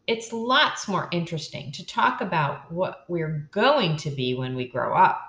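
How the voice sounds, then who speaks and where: A kind, thoughtful young girl who speaks gently, voiced by an older woman reading aloud. The delivery is slow, with a roomy echo. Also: on the low side, animated, lo-fi sound. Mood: gentle.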